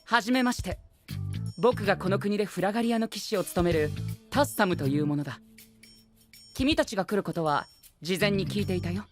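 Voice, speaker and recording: A male actor playing a pompous, rule-obsessed young gentleman knight in an anime audio drama, speaking formally and peppering his lines with English exclamations. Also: sits high, fairly quick, pauses often, lightly acted. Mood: proud, formal. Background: background music.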